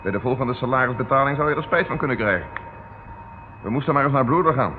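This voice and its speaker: In a narrow, faintly hissy old radio recording, an actor plays a calm male inspector leading an inquiry. He has a middling pitch and speaks in a methodical, businesslike, questioning way. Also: fast talker, natural voice. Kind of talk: radio drama acting.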